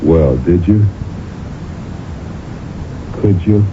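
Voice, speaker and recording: An actor playing a stern, accusing husband who interrogates pointedly in a radio mystery drama. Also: male voice, sits low, very slow. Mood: relentless, pressing. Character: stern, accusing husband, a relentless talent agent. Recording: noisy, narrow-band old radio recording.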